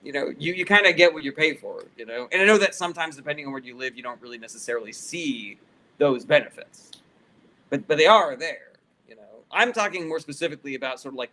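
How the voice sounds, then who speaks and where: Bright, forceful man fielding political questions. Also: mid-range voice, animated, a little fast, poor audio. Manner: conversational, opinionated, argumentative. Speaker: live stream host answering viewer questions. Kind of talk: casual political Q&A, reading comments aloud and replying.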